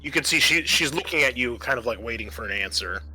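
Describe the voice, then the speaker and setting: A man acting as game master, explaining rulings and narrating a tabletop roleplaying game in a measured way with restarts. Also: mid-range voice, expressive, bright, forceful tone, husky, low-quality recording.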